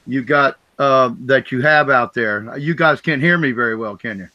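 A man's voice: mid-range voice, moderate pace, pushed and bright, no echo, lo-fi sound. A man with a big voice speaking as a guest on a casual podcast, enthusiastic and rambling, on his own mic. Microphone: own separate mic.

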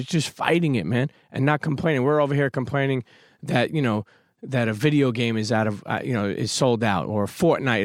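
A man hosts a podcast with a fired-up, emphatic delivery, swearing casually as he pushes his listeners to keep going. His voice sits at an ordinary middle pitch. The sound is dry and close, with no room around it. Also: no echo. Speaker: podcast host. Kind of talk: motivational podcast talk.

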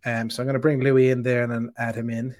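A man's voice: mid-range voice, level tone, fast talker, a little mellow. A man hosting a casual interview over a video call, talking in a rambling way with many fillers and restarts. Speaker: host.

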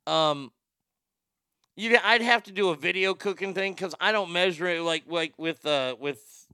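A man's voice: high-pitched, a little slow, sharp tone, clean tone, no echo, clean studio sound. A middle-aged man from Texas with a stutter, hosting a casual show where he reads viewer comments and answers them bluntly. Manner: rambling, blunt, profane, laughs at his own jokes. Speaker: livestream show host.

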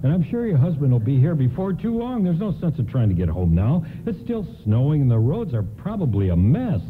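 Casting: older male actor as kindly, avuncular doorman in a 1950s-set radio play, lively medium-pitched delivery, lo-fi sound with faint hiss. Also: fairly quick, lightly acted. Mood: reassuring. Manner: avuncular, reassuring, hesitating politely. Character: kindly, patient middle-aged 1950s department store doorman. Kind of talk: nostalgic radio play acting. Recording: lo-fi hissy radio play recording.

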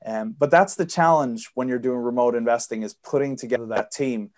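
A man's voice: medium pitch, fairly quick, a little mellow. A man from Sweden with a Swedish-American accent, a guest on a podcast interview, explaining at length and fluently with frequent fillers. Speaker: guest investor.

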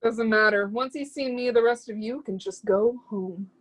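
A woman's voice: on the high side, moderate pace, close to an everyday voice. A woman playing a proud, zealous would-be artist's muse in a play reading, speaking with lofty, scornful emphasis. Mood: defiant pride.

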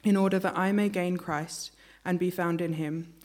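A woman reading a Bible passage aloud at a church service, steady and earnest. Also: on the low side, normal speed. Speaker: scripture reader. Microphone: church microphone.

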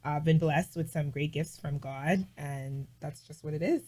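A woman vlogger chatting casually as an interview guest, emphatic and animated, with lots of "like". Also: low-pitched, normal speed. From Bahamas.

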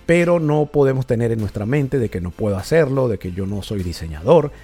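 A man hosting a podcast episode, giving measured, motivational advice on communication as a trainer. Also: medium pitch, animated, dark tone, husky, soft background music. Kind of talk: instructional podcast monologue.

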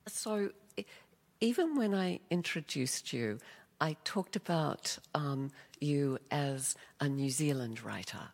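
A woman interviewer with an extremely low, gravelly voice puts measured questions to her guest. She prompts gently and pauses on fillers as she goes. A long reverb trails behind her words. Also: lively intonation.

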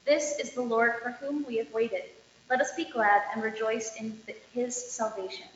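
High-voiced woman reading in an echoing hall. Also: steady tone, normal speed. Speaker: scripture reader (lector). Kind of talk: formal scripture reading aloud. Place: large reverberant indoor space. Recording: echoey reverberant hall, dull, faint noise.

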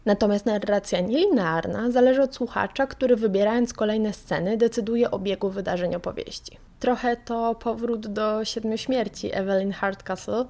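A woman's voice: medium pitch, moderate pace, hardly any pauses, dark tone. A woman hosting a radio programme, introducing things in a conversational way. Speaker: radio host and audio drama maker. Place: at home, near a balcony.

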